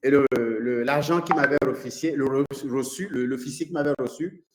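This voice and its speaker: A man from Nigeria, an English speaker by birth, coaches a seminar audience as a consultant. His voice sits in a middle pitch and he talks haltingly, stopping on fillers and repeated words. The recording carries the echo of a reverberant room. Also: background noise. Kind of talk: seminar talk to a live audience.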